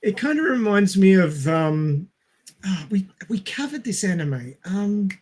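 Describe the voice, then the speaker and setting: A man with a notably high voice and an Australian accent. He chats casually as a podcast co-host, joking and poking fun at himself. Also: big pitch swings, unhurried, a little mellow. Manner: jokey, self-deprecating. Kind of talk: casual chat.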